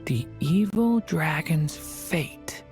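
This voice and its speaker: Young dragon boy, mid-pitched, earnest, unsure, gentle game character. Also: animated, drawn-out words, music in the background. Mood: pensive. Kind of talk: video game voice acting.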